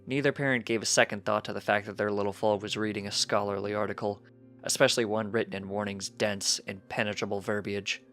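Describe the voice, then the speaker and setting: A man's voice: on the low side, fairly even intonation, a little fast, fairly bright tone. Male storybook narrator over music. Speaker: fan fiction reader.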